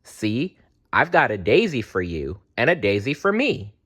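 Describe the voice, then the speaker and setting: A man's voice: medium pitch, big pitch swings, unhurried, fairly bright tone, slightly rough, heavily acted. A man voicing friendly, caring storybook bears in a cheerful, reassuring manner.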